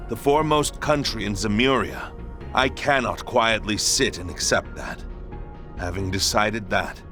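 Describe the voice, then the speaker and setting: An actor playing a composed, resolute head of state in a video game, speaking formally and with authority. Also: male voice, lowish voice. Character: resolute, composed male president of a republic. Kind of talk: video game cutscene voice acting.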